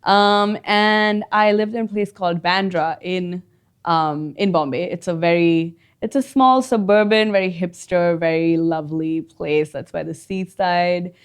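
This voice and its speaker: A chatty young woman, answering interview questions in a lowish voice. She giggles easily and leans on fillers as she talks. Her tone stays relaxed and conversational. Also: a little slow, clean tone. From India. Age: 20s. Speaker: guest singer. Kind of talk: casual interview chat.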